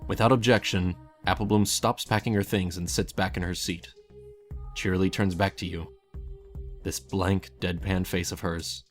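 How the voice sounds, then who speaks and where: A man with a low voice reads a story as its narrator. He delivers scripted second-person prose, and soft music plays behind him. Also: fairly even intonation, moderate pace. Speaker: fan fiction narrator.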